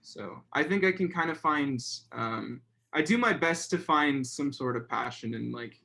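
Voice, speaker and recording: A young man in a relaxed interview, his talk full of pauses and fillers. His voice sits a little high for a man and wanders through restarts as he thinks aloud. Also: vocal fry. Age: young adult. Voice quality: fairly high voice. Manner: hesitant, many fillers and restarts. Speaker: guest. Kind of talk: casual interview chat.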